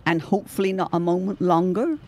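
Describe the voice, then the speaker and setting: A woman playing a chatty, gently reassuring middle-aged mother in a period audio drama, exclaiming as she goes. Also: lowish voice, brisk, close to an everyday voice. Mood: gently probing.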